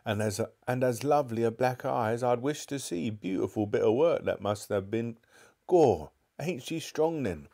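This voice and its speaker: A man's voice: mid-range voice, lively intonation, normal speed, creaky, warm tone. A man voicing a cheeky man from a street crowd in a storybook reading. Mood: gleeful.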